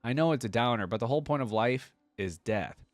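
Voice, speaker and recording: A man voicing a sullen, gloomy young female fantasy creature who loathes happiness, in a morose, dismissive, deadpan way. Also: mid-range voice, brisk, no room sound, studio quality, natural voice.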